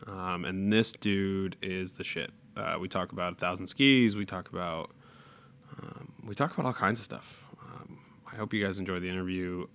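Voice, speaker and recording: A man with a low, forceful voice introducing a podcast interview. He rambles loosely, swearing casually between topics. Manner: rambling, profane. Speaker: podcast host.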